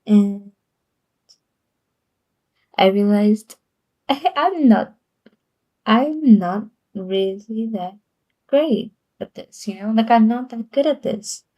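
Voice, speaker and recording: A woman's voice: fairly high pitch, takes it slowly, pauses often, lo-fi sound. A woman streamer chatting casually in a live Q&A, laughing often and repeating herself as she rambles. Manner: giggly, chatty, laughs often between phrases; rambling with frequent repeats and restarts.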